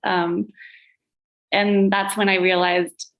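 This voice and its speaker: A woman's voice: mid-range voice, flat delivery, takes it slowly, low-quality recording. A woman who learned Latvian at home as her first language, talking about herself in a casual interview with frequent little laughs and self-deprecating asides. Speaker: gallery owner and curator, podcast guest.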